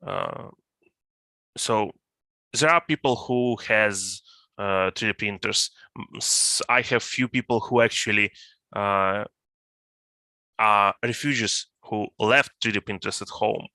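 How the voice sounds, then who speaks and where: A man with a Ukrainian accent, speaking English as a second language, talking haltingly with many fillers on a video call. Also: lowish voice, bright, forceful tone, no room sound. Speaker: volunteer.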